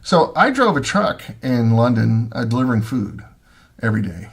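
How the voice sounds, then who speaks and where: A man's voice: medium pitch, very animated, normal speed, breathy edge. A middle-aged man from Missouri, hosting a casual livestream from home and answering viewer comments in a rambling way, with lots of ums and restarts. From Missouri, USA. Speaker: livestream host.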